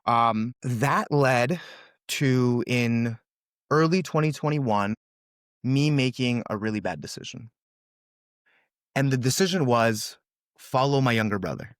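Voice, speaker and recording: A man's voice: mid-range voice, slow pace, pauses often, no room sound, clean studio sound. A man in his twenties, a native Russian speaker raised in California, telling his story as a podcast guest in an earnest, casual way, full of "um" and "brother". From USA, California.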